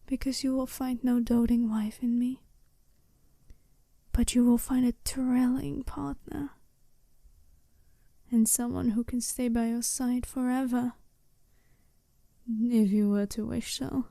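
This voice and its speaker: A woman voicing a seductive, self-assured werewolf bride in an ASMR roleplay, soft-spoken and teasing, often slipping into a breathy whisper. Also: on the high side, steady tone, no echo, clean studio sound. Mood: alluring, challenging. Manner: slow, teasing, deliberate, intimate.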